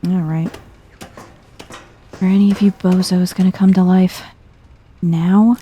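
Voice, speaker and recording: A woman giving soft-spoken, chill ASMR commentary over a video game playthrough, thinking out loud in a low-key way. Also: level tone, unhurried, slight background noise.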